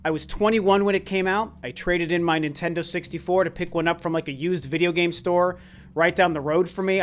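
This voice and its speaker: High-voiced man with a tired, worn voice. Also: a bit bright. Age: about 40. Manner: earnest, conversational, with frequent restarts. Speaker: YouTube channel host. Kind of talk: casual Q&A chat.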